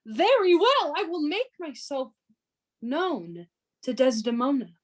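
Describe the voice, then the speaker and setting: A woman playing an aggrieved, gullible gentleman suitor in a Shakespearean radio play, indignant and petulant. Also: high-pitched, very animated, slow pace, exaggerated voice.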